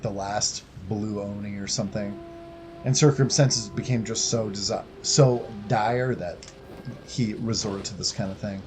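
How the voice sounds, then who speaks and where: A man's voice: on the low side, a little mellow. A man giving casual, chatty commentary while he plays a video game. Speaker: gamer playing and commenting. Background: game music.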